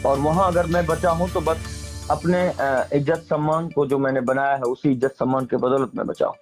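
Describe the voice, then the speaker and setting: A man's voice: fairly high pitch, fairly even intonation, normal speed, faint music behind. A man with a Bihari accent speaking casually as an interviewee, emphatically airing grievances in colloquial, repetitive phrasing. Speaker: interviewee, singer and stage performer.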